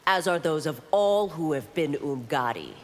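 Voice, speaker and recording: A woman's voice: deep voice, animated, normal speed. An actress playing a stern, dutiful female warrior constable in a video game, formal and firm. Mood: stern, defiant. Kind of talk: video game character dialogue. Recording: long hall-like reverb.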